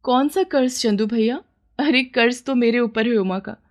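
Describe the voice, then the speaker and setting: A woman's voice: on the high side, moderate pace, lightly acted. A woman playing a poor, humble village mother with a plaintive, deferential way of talking in a story. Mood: warm surprise.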